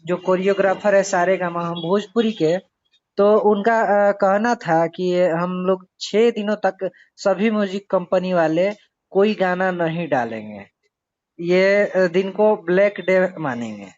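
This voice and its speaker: A man interviewing someone casually over the phone, asking probing questions in a halting way. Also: high-pitched, darkish tone. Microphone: phone call. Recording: dull lo-fi phone call recording.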